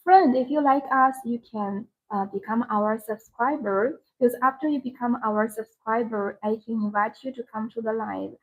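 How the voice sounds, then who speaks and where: A woman's voice: on the high side, moderate pace, few pauses, narrow, tinny audio. A woman who speaks English as a second language, presenting products on a live sales stream in simple, short, repeated phrases. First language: not English.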